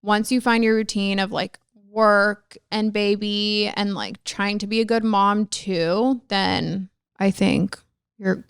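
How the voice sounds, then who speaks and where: A woman's voice: mid-range voice, takes it slowly, clear, resonant tone, dry recording, studio quality. A woman guest in an office, chatting casually and easily, often saying like. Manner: relaxed, laughs easily, says like often. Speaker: guest, marketing director. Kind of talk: casual chat.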